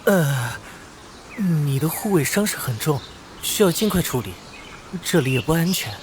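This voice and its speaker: Man playing a confident, high-pitched young hero. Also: animated, moderate pace, slight background noise, close to an everyday voice. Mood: cool, assured. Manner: assured, persuasive, commanding. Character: confident young male mecha-builder hero, shrewd, ambitious. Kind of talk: animated fantasy drama voice acting.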